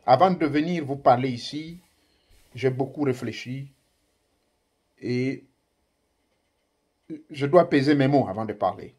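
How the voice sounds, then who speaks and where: A man's voice: mid-range voice, unhurried, pauses often, darkish tone, husky. A man whose first language is Ngomienne, speaking French in an emphatic, declamatory political address to young listeners. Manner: emphatic, declamatory, rising bursts on key words. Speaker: commentator addressing African youth. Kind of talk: impassioned political address to camera.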